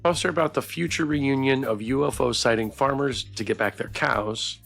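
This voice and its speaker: A man's voice: mid-range voice, moderate pace, vocal fry, a bit bright, soft background music. A man streaming a game, reading the story aloud expressively and chatting with viewers as he goes. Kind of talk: playthrough narration with chat banter.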